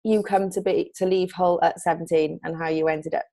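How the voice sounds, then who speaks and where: A woman hosting a relaxed interview with a slightly gravelly voice. She keeps the guest going with short, encouraging replies. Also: fairly low pitch, brisk. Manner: encouraging, frequent backchannels. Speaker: podcast host. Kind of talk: casual interview chat.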